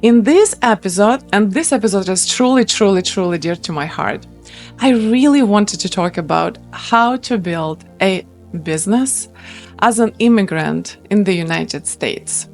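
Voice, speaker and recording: A woman's voice: medium pitch, animated, unhurried, a little mellow, faint music behind. A woman hosting a casual interview, enthusiastic and repeating words for emphasis. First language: Russian. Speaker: host.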